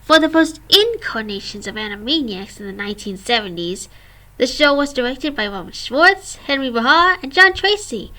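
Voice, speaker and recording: A woman's voice: sits high, sharp tone, low-quality recording. A woman in her twenties, a vlogger reading a scripted history and reciting long lists of names and dates. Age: 20s.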